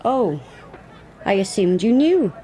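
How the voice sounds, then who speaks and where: A woman's voice: medium pitch, sing-song, slow, dark tone, a faint crowd. A woman playing a matter-of-fact police sergeant in a radio play, chatty and dry. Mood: dry, informative. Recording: siren and vehicle noise behind.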